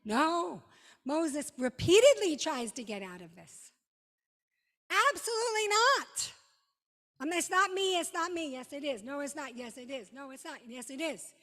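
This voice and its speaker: Lady rabbi doing a sermon, high voice, real slow and emphatic w big pauses, echoey hall. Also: animated, a bit bright. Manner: emphatic, dramatic pauses, short repeated phrases. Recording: long reverberant hall.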